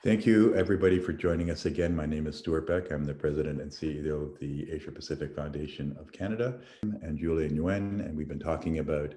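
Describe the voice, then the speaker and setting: A man hosting a panel discussion, asking measured, halting questions. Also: extremely low pitch, fairly even intonation, mellow, echoey room. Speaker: host, foundation president.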